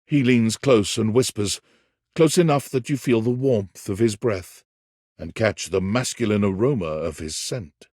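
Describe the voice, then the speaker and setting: A man's voice: fairly low pitch, measured pace, a little mellow, breathy edge, no echo, studio quality. An actor playing a wry, dramatic storyteller, a man narrating a cheeky dating game in an arch, florid, theatrical way. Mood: teasing. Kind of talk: scripted narration.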